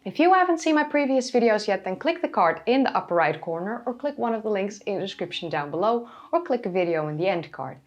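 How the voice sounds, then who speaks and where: A woman hosting a history channel, giving scripted educational narration in a raspy, hoarse voice after being ill. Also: medium pitch, animated, fast talker, hardly any pauses, lo-fi sound. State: hoarse from recent illness.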